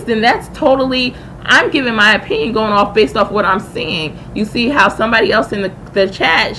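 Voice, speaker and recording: A woman's voice: fairly high pitch, a bit bright, poor audio. A woman hosting a casual live stream, giving slangy, opinionated commentary and explaining herself as she goes. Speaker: livestream host and YouTube creator. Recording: clear background noise on a livestream.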